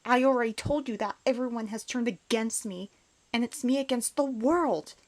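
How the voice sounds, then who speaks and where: A woman's voice: a little high, moderate pace, natural voice. A woman acting a bitter, despondent outcast in a comic dub, guarded, sullen and dismissive. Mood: curt, defiant.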